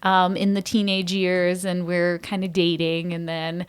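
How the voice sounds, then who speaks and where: A woman's voice: lowish voice, completely flat delivery, measured pace, clear, resonant tone. A woman who acts on stage, talking as a podcast guest and explaining things in a casual interview style.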